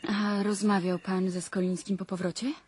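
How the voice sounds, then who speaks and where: A woman's voice: medium pitch, little pitch movement, moderate pace, a little mellow, husky, lightly acted. An actress playing a teasing, self-assured young lady of good family in a radio play, playful, probing and coaxing. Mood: confident, conspiratorial.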